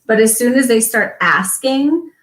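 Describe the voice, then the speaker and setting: A woman talking casually as a guest, relaxed with frequent ums and laughs. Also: a little high, a little slow, echoey room. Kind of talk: casual chat.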